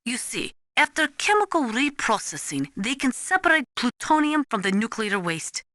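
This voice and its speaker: A woman's voice: a little high, sing-song, moderate pace, pushed and bright, dry recording, clean studio sound. A woman voicing a serious, knowledgeable nuclear weapons analyst in a video game, speaking formally and precisely in scripted, lecturing explanations. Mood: serious, explanatory. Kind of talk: scripted expository game dialogue.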